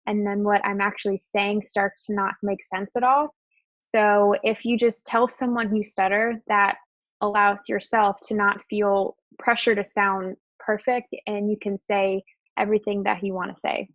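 A woman's voice: mid-range voice, little pitch movement, unhurried, dry recording. A young adult woman who stutters at times, presenting slides in a webinar in an open, conversational way. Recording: dull, lo-fi webinar audio.

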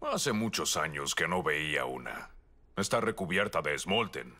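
Deep, cautious cartoon companion. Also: male voice, big pitch swings, moderate pace, exaggerated voice. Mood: knowing. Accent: Latin American Spanish. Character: knowledgeable, cautious, dry male companion of great experience. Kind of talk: animated cartoon dub. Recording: loud music behind the voice.

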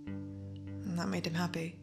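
Wistful daughter, reflective, halting, lower-pitched, audio drama monologue, guitar underneath. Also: female voice, level tone, vocal fry. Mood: grieving, haunted. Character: wistful daughter recalling her adored theatre-critic father.

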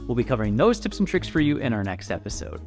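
Animated American man presenting, music behind. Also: mid-range voice, fast, long reverb tail. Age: 30s. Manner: upbeat, polished. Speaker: host. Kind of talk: scripted presentation to camera. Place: indoor office, close to camera. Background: background music.

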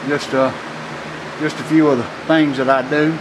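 A middle-aged man, talking a little higher than most men as he ambles through a cramped metal workshop. He rambles in a friendly way, stopping often with "uh." The handheld camera audio carries a steady hiss and an air conditioner hum. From Louisiana, USA. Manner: rambling, friendly, with many pauses and fillers. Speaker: knife maker. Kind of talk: casual workshop tour. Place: small metal workshop building, indoors. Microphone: handheld camera mic.

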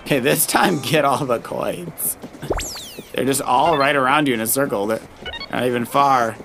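A man's voice: medium pitch, normal speed, creaky. Casual man coaching over game music. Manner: encouraging, gives tips and suggestions. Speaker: dad co-hosting a let's play with his child. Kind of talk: casual gameplay chat and coaching. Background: loud video game music.

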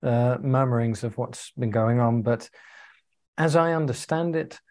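A young man from the UK explains things over a video call. He picks his words carefully, with hesitant pauses, in a plain, mid-pitched voice. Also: darkish tone. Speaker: co-host. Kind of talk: casual video call chat.

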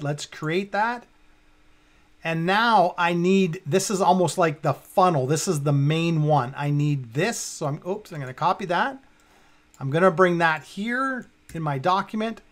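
A man in his fifties with a fairly high voice presents a software walkthrough. He speaks casually, talking himself through each step as he works on screen. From Canada. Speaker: tutorial presenter. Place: indoors, at a computer.

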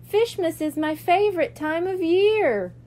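A woman's voice: extremely high pitch, lively intonation, unhurried, darkish tone, voice pushed up. A woman voicing a cheerful, dutiful young girl cat-mermaid in a children's storybook, eager and playful.

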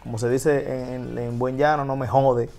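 A Dominican man in his thirties talks through his story in an easy, rambling way, often restarting his sentences. His voice sits at an everyday middle pitch, with faint music in the background of the studio. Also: normal speed, gravelly. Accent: Dominican Spanish. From Dominican Republic. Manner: relaxed, chatty storytelling with frequent restarts. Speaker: guest DJ and TV host. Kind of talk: casual interview chat. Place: TV studio set.

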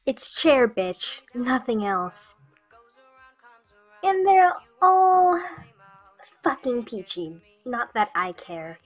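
Husky, high-pitched sullen young girl played by a woman. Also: very animated, drawn-out words, pauses often, faint music behind, exaggerated voice. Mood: blunt, sullen. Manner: blunt, grumpy. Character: Laura, foul-mouthed sullen eleven-year-old girl. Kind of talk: audio drama acting.

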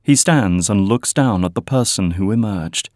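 Male audio-drama narrator, low and dry. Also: lively intonation, moderate pace, darkish tone, no echo. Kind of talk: scripted narration.

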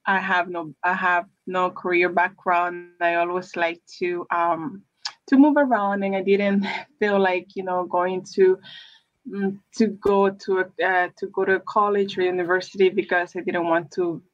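Woman guest, lowish voice, hesitant, dull lo-fi webcam sound. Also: steady tone, unhurried. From El Salvador. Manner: hesitant, many fillers and restarts. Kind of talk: casual interview chat. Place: room with brick wall. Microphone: webcam on a video call.

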